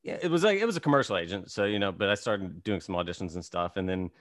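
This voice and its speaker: A man's voice: sits low, fast talker, no echo. Man, thirties, podcast host, easygoing, rambling, lots of fillers, dry close sound. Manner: relaxed, rambling with many fillers. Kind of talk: casual interview chat.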